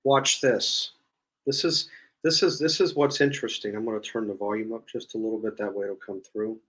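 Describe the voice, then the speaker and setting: A man's voice: fairly low pitch, fairly even intonation, a little fast, a bit bright, rough recording. A man explaining an app as a guest in casual chat, rambling and repeating himself. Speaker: guest demonstrating a phone app.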